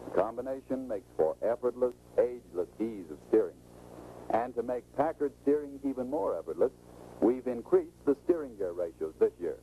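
An American man presents a scripted 1940s training film in an instructive, salesmanlike way. His voice is very dark and mellow, with lively, swinging intonation. It comes through a muffled old film soundtrack with faint noise. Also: mid-range voice, normal speed.

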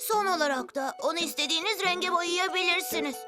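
A woman's voice: very high voice, brisk. A woman voicing an upbeat, helpful young male character who teaches drawing step by step in a preschool cartoon. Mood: cheerful, instructive. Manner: clear, simple instructional phrasing. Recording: loud music behind the voice.

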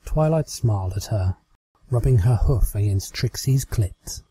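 A man's voice: on the low side, lively intonation, unhurried, warm tone, husky. A British man reading a story aloud as a scripted amateur narration. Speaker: amateur fan fiction reader.

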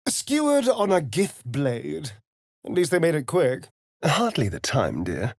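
A man's voice: fairly high pitch, wildly swooping pitch, unhurried, a little mellow, no room sound, studio quality, heavily acted. A man with a British accent playing a vain, sardonic immortal vampire rogue in a fantasy video game, theatrical and arch. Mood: scornful, dry. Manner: arch, drawling, flamboyant.

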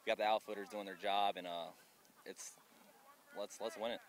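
A young man, a college pitcher, giving short hesitant answers with fillers outdoors by the field. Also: steady tone, moderate pace. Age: young adult. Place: outdoors beside a baseball field.

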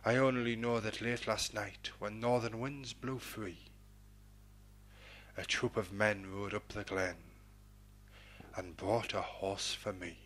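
A man hosting a poetry programme and reading verse in a measured, dramatic, declamatory manner with a husky voice. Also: lowish voice, level tone, a bit bright. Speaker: poetry programme host and reader.

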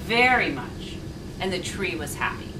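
A middle-aged woman reading a children's storybook aloud with deliberate, expressive phrasing. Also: on the low side. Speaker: volunteer story reader. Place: indoor sitting room with leather sofa. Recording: roomy, distant mic, faint noise.

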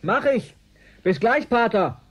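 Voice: medium pitch, expressive, slow, dull audio. An actor playing a concerned, encouraging radio operator at mission control in a radio play, speaking over a radio link.

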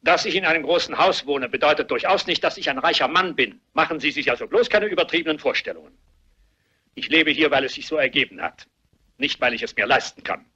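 A man's voice: on the high side, lively intonation, a little fast, pushed and bright, slightly rough, muffled recording, heavily acted. An older actor playing a cantankerous, miserly grandfather who grumbles and barks sarcastically in a radio play. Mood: grumpy, dismissive. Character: cantankerous, miserly old grandfather, suspicious invalid tyrant. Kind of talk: German radio play acting.

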